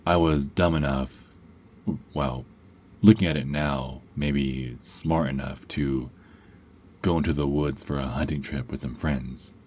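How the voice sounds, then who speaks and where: A man's voice: a bass voice, fairly even intonation, unhurried, a little mellow. A man playing a cocky, sarcastic young adult werewolf held captive, in an ASMR roleplay with a taunting, sardonic drawl. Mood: wry storytelling.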